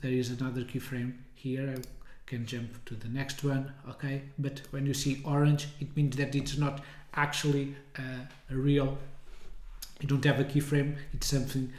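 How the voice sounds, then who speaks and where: A man in his thirties giving an informal, hesitant software demonstration, often rephrasing as he goes. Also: mid-range voice, flat delivery, normal speed. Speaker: software tutorial presenter. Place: indoors, small room with window blinds. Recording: roomy, echoey indoor sound.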